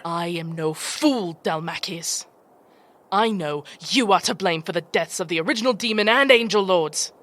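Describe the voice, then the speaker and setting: A woman's voice: lowish voice, normal speed, a bit bright, natural voice. A woman playing a fierce, brash demon chieftain in a fantasy audio drama, with a swaggering, coarse manner. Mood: grave, accusing. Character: brash, taunting female demon chieftain, fierce war leader.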